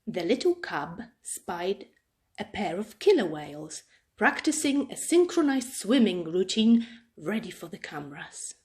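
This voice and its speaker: A woman reading a children's story aloud in an expressive, dramatic storytelling style. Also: mid-range voice, unhurried.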